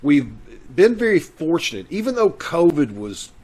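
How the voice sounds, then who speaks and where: A man's voice: on the high side, very animated, normal speed, darkish tone, rough recording. A man hosting a show over a video call, chatting in a folksy, casual way as he welcomes and announces. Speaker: host.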